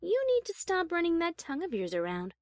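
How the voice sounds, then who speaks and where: A woman voicing a prim, haughty high-class pony in an audio story, speaking with refined diction and dry formality. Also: wildly swooping pitch, fairly quick, dry recording, full character voice. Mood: flustered, fond. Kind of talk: scripted audio story character acting.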